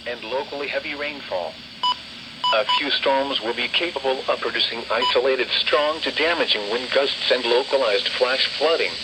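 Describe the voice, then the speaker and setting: A synthetic man's voice reading a formal, impersonal weather bulletin over a radio speaker. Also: medium pitch. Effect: radio broadcast. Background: heavy noise.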